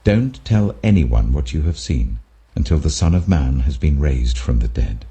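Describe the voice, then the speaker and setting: A British man voicing Jesus as an authoritative, earnest teacher, deliberate and emphatic. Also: very deep voice, animated, warm tone, breathy edge, heavily acted. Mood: grave, instructive.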